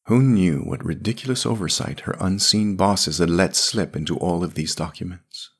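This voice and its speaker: A man with a low voice narrates a piece of audio fiction. His delivery is measured and literary, like a storyteller reading from the page. Music plays behind him. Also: slightly rough.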